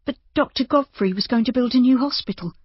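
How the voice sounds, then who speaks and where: A narrator plays a sensible young woman who runs an inn, in an audiobook. The voice is lifted high, earnest and reassuring, with an anxious, kindly edge. The sound is close, dry and a little muffled. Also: fast talker, breathy edge, no echo, close to an everyday voice. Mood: surprised. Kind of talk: audiobook character dialogue.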